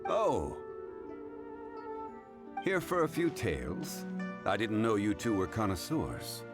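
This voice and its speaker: A man voicing a calm, learned and modest gentleman who speaks formally and courteously. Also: on the low side, takes it slowly, heavily acted. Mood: composed. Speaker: funeral parlor consultant. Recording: game dialogue over music.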